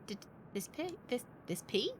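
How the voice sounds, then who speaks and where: A woman's voice: on the high side, very animated, moderate pace, noisy background. A woman chatting casually as she streams a game, thinking aloud with wry, joking asides. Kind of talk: casual live gaming commentary.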